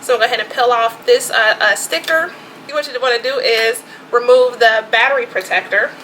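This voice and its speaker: A woman's voice: a little high, moderate pace, bright, forceful tone, a little hiss or hum, low-quality recording. A woman vlogger talking casually and chattily as she shows off products, with informal asides. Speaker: vlogger presenting emergency gear. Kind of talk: casual product show-and-tell.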